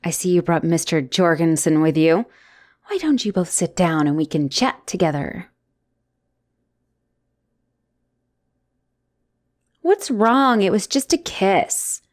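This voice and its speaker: A woman's voice: on the low side, big pitch swings, normal speed. A woman playing an obsessive, lovestruck asylum patient in a dramatic roleplay audio, theatrical and doting with a menacing edge. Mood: mock polite. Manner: theatrical, doting, swinging between gushing and hushed menace.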